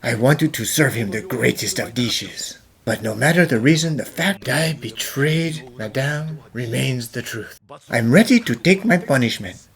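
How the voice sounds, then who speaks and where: A woman voicing an earnest, remorseful male chef and devoted father in a cartoon fan dub, halting and emotional. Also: extremely low pitch, animated, heavily acted. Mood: regretful. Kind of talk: fan-dub character acting, dramatic storytelling.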